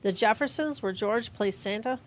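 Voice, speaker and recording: A woman's voice: mid-range voice, normal speed. A woman reading in a conversational style as a performer in an audio play. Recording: faint background noise.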